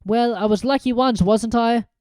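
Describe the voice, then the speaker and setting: A woman's voice: a little high, normal speed, a little mellow, no echo, studio quality, pitched above the performer's own voice, lightly acted. A woman voicing a brave, worried young schoolboy wizard in a storybook reading, earnest and urgent.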